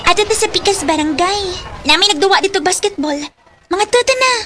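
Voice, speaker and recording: A woman's voice: extremely high pitch, expressive, fairly quick. A woman playing a playful, teasing teenage schoolgirl best friend in a radio drama, with a Cebuano accent. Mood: eager, inviting.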